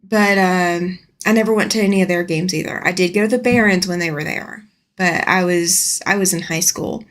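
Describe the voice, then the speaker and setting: A woman's voice: lowish voice, moderate pace, a bit bright. A woman from Alabama, chatting casually on a live stream from her home sewing room as she rambles and answers viewers' comments aloud.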